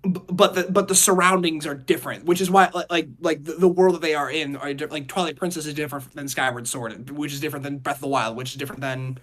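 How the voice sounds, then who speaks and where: A man's voice: fairly high pitch, fairly even intonation, fast, few pauses, fairly bright tone. A man co-hosting a podcast, giving rambling, casual opinions on entertainment. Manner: rambling, hedging with frequent 'like'. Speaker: podcast co-host. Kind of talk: casual opinionated chat about movies and games.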